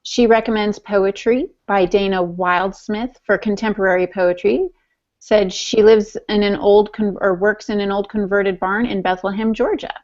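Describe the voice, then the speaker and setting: A woman's voice: mid-range voice, steady tone, normal speed, a little mellow. A woman co-hosting a podcast in casual conversation, chatty and playfully teasing. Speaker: podcast co-host. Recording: dull, lo-fi podcast audio.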